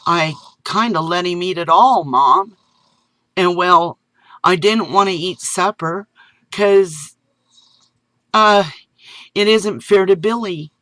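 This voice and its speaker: A man's voice: sits high, slow pace, pauses often, pushed and bright, dry recording, pitched above the performer's own voice, full character voice, low-quality recording. A man voicing an earnest little boy who keeps pleading and saying "Mom".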